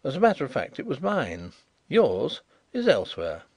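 A smooth-voiced blind gentleman detective talks in measured, courteous tones with a thread of dry irony, as a storyteller puts him on. His delivery stays lively in pitch and close, dry and direct. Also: dry recording, heavily acted. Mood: calm. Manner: measured and politely ironic.

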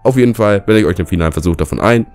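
Deep-voiced hoarse young man gaming. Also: rapid-fire. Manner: swears a lot, rants and shouts at the game. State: slightly hoarse from a cold. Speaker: let's player. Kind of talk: gaming commentary. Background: game music.

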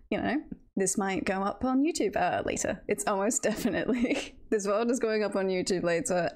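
A woman livestreamer chatting casually and telling stories in a giggly, self-deprecating, halting way. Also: medium pitch, vocal fry, darkish tone. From United Kingdom. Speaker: livestreamer, VTuber host. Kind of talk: casual livestream chat, storytelling.

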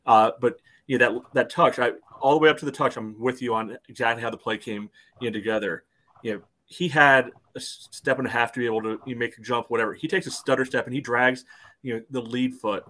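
A man's voice: medium pitch, fairly even intonation, quick pace, fairly bright tone. A man co-hosting a casual soccer podcast over a video call, peppering his speech with "you know". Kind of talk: casual sports discussion.

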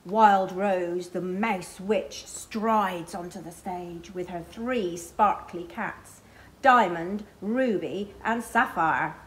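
A middle-aged woman reading a picture book aloud to children, expressively, pausing to point out the pictures. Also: lowish voice, low-quality recording. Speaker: library storyteller. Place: small library children's corner, indoors.

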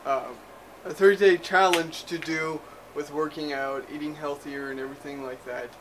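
A young man chats in a loose, rambling way, full of ums and uhs, in a voice pitched a little high for a man. He holds the camera at arm's length while walking around a small spare bedroom indoors, with faint room ambience behind him. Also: steady tone, moderate pace, fairly bright tone, slight background noise, rough recording. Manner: rambling, filler-heavy, loose and chatty. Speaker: vlogger. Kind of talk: casual vlog chat. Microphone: handheld camera at arm's length, moving around.

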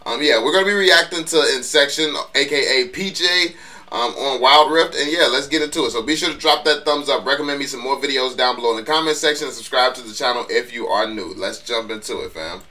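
A man's voice: mid-range voice, fairly quick, bright, forceful tone, distant mic. A young man with a congested voice giving laid-back, slangy reaction commentary on a mobile game as a YouTuber, speaking into a headset. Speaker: gaming YouTuber reacting to gameplay. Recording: game audio and music behind, a bit off-mic.

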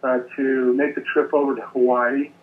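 An American man in his forties giving an earnest, measured interview over the telephone as an event organizer. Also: medium pitch, level tone. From United States. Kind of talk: phone interview. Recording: noisy, narrow phone line.

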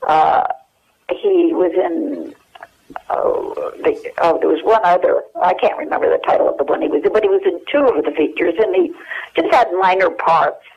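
An American woman in her eighties reminiscing casually and haltingly as an interview guest over the telephone. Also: fairly low pitch, lively intonation, husky. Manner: halting, searching for memories. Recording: dull, lo-fi telephone hook-up.

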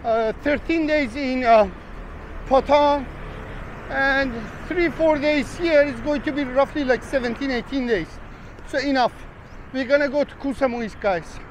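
A man's voice: very high-pitched, measured pace, rough recording. A man talking casually to viewers while walking a rainy street, rambling and chatty, calling them guys. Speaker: travel vlogger. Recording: street traffic noise behind.

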